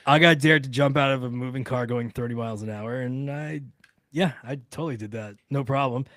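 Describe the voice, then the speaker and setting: A man's voice: medium pitch, fairly quick, a bit bright, dry recording. Wisecracking man, dry close sound. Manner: wisecracking, irreverent. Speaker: podcast panelist. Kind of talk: casual joking chat.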